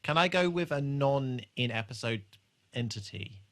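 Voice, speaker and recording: A man's voice: medium pitch, animated, moderate pace. A man hosting a podcast, talking casually through an episode. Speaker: podcast host.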